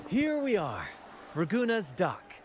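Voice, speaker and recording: A flamboyant cheerful boat host. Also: male voice, high-pitched, wildly swooping pitch, slow pace, faint music behind, heavily acted. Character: showy cheerful male ship's host.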